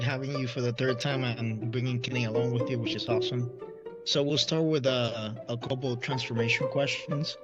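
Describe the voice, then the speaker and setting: A man's voice: medium pitch, a bit bright, muffled recording. Easygoing male podcast host. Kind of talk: casual interview.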